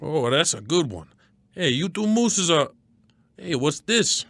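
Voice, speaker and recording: A man's voice: fairly high pitch, sing-song, measured pace, vocal fry, fairly bright tone, no room sound, exaggerated voice. A man playing a blustery, wisecracking radio producer and disillusioned sports fan in a scripted comedy skit, with slangy, melodramatic talk. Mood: gruff wisecracking.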